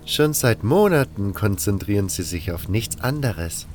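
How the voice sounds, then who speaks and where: A man's voice: on the low side, animated, a little slow, a little mellow. Man playing a cold, haughty baron. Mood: cool, calculating. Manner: condescending, scornful. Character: cold, haughty male baron giving orders. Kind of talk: fantasy audio drama acting.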